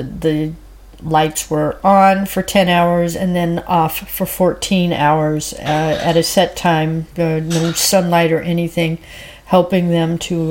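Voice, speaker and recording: A woman's voice: fairly low pitch, darkish tone. A woman hosting a casual solo podcast, a little stuffy from a head cold, chatty and rambling with lots of fillers. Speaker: podcast host.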